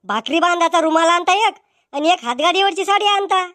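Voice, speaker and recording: A man voicing a blunt, mocking young village man in a cartoon, with a Varhadi Marathi accent and a pitched-up voice. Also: lively intonation, brisk, sharp tone, no echo, heavily acted.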